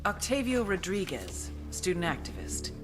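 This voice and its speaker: A woman's voice: on the low side, wildly swooping pitch, moderate pace, over music. An actress playing a tough, commanding, sardonic female intelligence agent in a video game cutscene. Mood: grim, accusing. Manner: hard-edged, commanding, sardonic.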